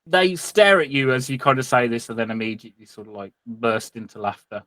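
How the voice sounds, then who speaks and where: A man's voice: medium pitch, expressive, a little fast, fairly bright tone, no room sound. A man with a British accent improvising tabletop game narration as game master, colloquial and hesitant as he describes.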